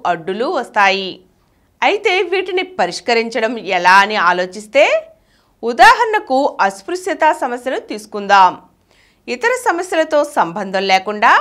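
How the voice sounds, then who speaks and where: A woman reading an essay from a script in a measured, didactic manner. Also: a little high, a bit bright. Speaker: audiobook reader.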